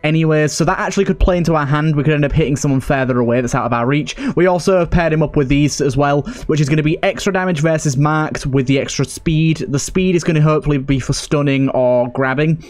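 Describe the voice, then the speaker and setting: A man's voice: on the high side, fast, hardly any pauses, a little mellow. A man giving casual let's play commentary as a gaming host, chatty and thinking aloud.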